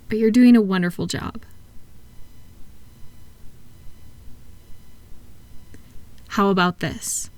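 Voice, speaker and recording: A woman playing a kind therapist in a comfort audio roleplay, speaking softly and gently, reassuring and encouraging her patient without hurry. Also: fairly high pitch, no echo. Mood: gentle reassurance. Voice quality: soft-spoken. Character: kind, soothing woman therapist guiding a patient with futuristic tablet.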